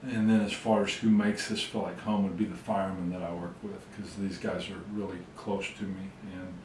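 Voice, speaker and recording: A man's voice: deep voice, flat delivery, normal speed, a little mellow, a little hiss or hum. A middle-aged man speaking earnestly in an interview in his office. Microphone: far camera. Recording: echoey office from far off.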